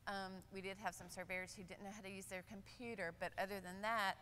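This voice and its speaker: An older woman, a nurse speaking on a conference panel, candid and animated, with many fillers. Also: mid-range voice, brisk, in a large hall, slight background noise. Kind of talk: panel discussion. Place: large conference hall stage. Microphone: lapel.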